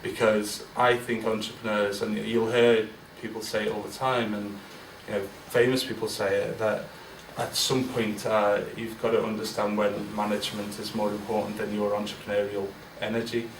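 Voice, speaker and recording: A man's voice: lowish voice, level tone, moderate pace, hardly any pauses, a little hiss or hum. A man in his forties speaking candidly and informally to an audience at a business event, with many fillers and restarts. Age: 40s. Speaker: guest speaker. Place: large event room with an audience. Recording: roomy, distant mic with faint ambience.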